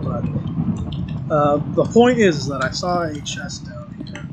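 A young man giving casual, rambling commentary while playing a game, with frequent ums and restarts. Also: a little high. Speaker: gaming video creator. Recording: noisy home recording with mouse clicks.

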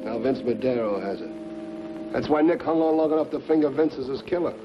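An actor playing a hard-boiled, cynical police detective, clipped and sardonic, in a 1950s television crime drama. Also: male voice, mid-range voice, animated, fairly quick, lightly acted. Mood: brisk, commanding. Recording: old muffled film soundtrack with music.

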